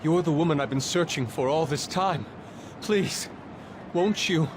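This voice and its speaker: A man's voice: a little high, a little slow, noisy background, natural voice. A man playing an earnest, intense teenage art student with a formal manner in an anime game. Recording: loud music behind.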